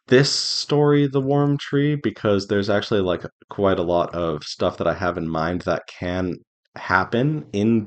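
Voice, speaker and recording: A man talking in a casual, unscripted devlog style, rambling a little, hesitant and self-conscious, as an indie game developer updating followers. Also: sits low. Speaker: indie visual novel developer giving a devlog.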